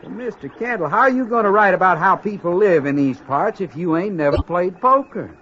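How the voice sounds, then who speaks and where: An actor playing a friendly American gold prospector in a 1950s radio western, with a folksy, rustic frontier drawl. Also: male voice, high voice, expressive, fairly quick, a bit bright. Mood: friendly, eager. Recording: dull, noisy old radio recording.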